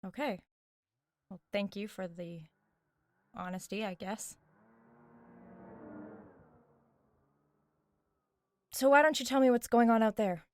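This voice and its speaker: A woman's voice: mid-range voice, lively intonation, moderate pace, a little mellow, close to an everyday voice. A young American woman playing a wry, skeptical but loyal friend who questions directly in a naturalistic audio drama. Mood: incredulous, sardonic. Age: young adult. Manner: dry, sardonic, direct.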